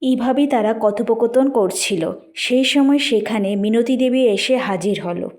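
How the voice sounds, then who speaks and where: Female narrator, fairly high pitch, scripted animated-story narration. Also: moderate pace.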